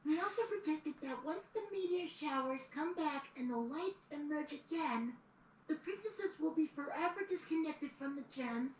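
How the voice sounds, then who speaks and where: A woman's voice: high-pitched, animated, normal speed. A woman playing a young boy dragon assistant who reads history aloud in an audio drama. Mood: earnest storytelling. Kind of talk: audio drama storybook narration. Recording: roomy, distant, noisy replayed audio.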